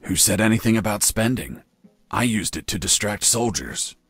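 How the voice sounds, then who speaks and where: An actor playing a wry, unflappable escaped prisoner telling his story in a video game, with deadpan delivery. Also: fairly low pitch, moderate pace, creaky, husky, no room sound, heavily acted. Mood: deadpan recounting. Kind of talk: video game narration and dialogue.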